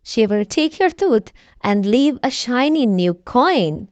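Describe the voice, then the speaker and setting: Woman playing mummy pig figure, reassuring, kindly, slow-paced, melodic, storybook character. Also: on the high side, very animated, darkish tone, no room sound.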